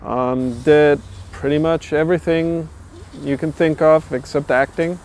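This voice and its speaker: A middle-aged man from Austria, a guitar builder talking thoughtfully and at a measured pace in a documentary interview, pausing often with "uh". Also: fairly high pitch, steady tone, a little mellow, slight background noise. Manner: thoughtful, measured, with frequent "uh" pauses. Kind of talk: documentary interview, reflective storytelling.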